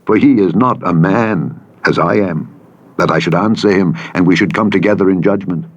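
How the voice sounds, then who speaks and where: A man narrating scripture from a script in a solemn, measured, formal delivery. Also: sits low, muffled recording. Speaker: audiobook Bible narrator. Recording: engine and vehicle noise over playback. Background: truck simulator engine noise.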